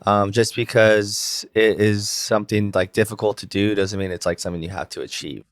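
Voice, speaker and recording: A young man co-hosting a podcast in casual chat, talking in a halting, reflective way that circles back on thoughts. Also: lowish voice, steady tone, dry recording, clean studio sound. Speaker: podcast co-host.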